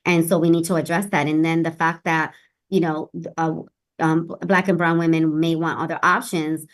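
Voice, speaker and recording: Woman with a lowish voice, speaking emphatically. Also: little pitch movement, moderate pace. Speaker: city health director, interview guest. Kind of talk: earnest interview conversation.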